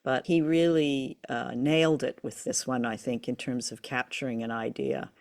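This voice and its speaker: A woman with a noticeably creaky voice chats as a show co-host. Her delivery is conversational and playful, with plenty of fry in the sound. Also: very deep voice, steady tone, moderate pace, a little mellow. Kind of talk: casual discussion of an old radio drama.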